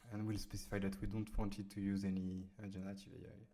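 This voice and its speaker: A man with a French accent, casually talking through a software tutorial at his computer, pausing often with fillers as he types. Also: sits low, monotone. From France. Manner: hesitant, many fillers while typing. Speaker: software demo host. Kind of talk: casual screen-recorded tutorial.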